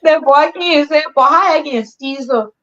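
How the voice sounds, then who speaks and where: A woman with a Liberian accent chats and tells stories on a live stream. Her voice sits fairly high, and she laughs easily and drops into colloquial slang. The audio is muffled and lo-fi. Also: normal speed, a little hiss or hum. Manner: laughs often, relaxed storytelling with colloquial slang. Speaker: host. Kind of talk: casual chat livestream.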